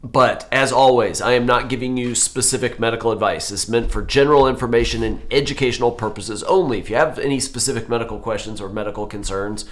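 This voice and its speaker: Hoarse man with a cold, forties, live show host, medium pitch, rambling with fillers. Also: fast talker, few pauses. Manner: rambling, self-correcting, with many fillers. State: losing his voice from a cold. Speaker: orthopedic surgeon.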